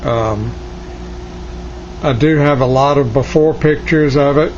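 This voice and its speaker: A man giving a casual, unscripted show-and-tell as a hobbyist, thinking aloud in a hesitant, halting way. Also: mid-range voice, a little mellow. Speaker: hobbyist restorer of vintage radio gear. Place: cluttered indoor electronics workshop. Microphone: handheld camera mic, behind the lens. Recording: dull lo-fi camera audio, faint noise.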